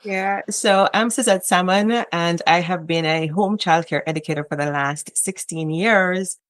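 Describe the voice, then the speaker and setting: A middle-aged woman with a Jamaican accent talks in a low, relaxed voice about her work as a home childcare educator. The sound is dry and close, with no room behind her. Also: unhurried, no echo. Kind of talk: casual interview talk.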